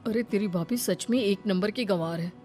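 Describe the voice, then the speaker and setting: A woman's voice: mid-range voice, normal speed, music in the background, close to an everyday voice. A woman voicing a scornful, gossiping neighbourhood woman in a cartoon story, mocking and emphatic.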